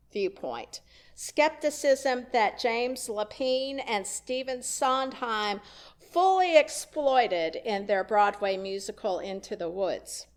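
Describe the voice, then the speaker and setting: A woman minister giving a sermon in a church, telling a story in a conversational way with wry asides. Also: on the high side. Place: church sanctuary.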